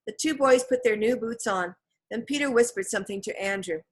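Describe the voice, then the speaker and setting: A woman reading a children's storybook aloud as an audiobook narrator, with expressive scripted delivery. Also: fairly high pitch, brisk. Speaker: audiobook reader.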